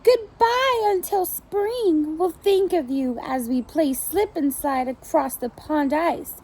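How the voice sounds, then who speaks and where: Lady putting on friendly geese in a picture book, high and drawn out. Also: slow, a little mellow, poor audio. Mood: cheerful. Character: cheerful friendly farm geese in a storybook.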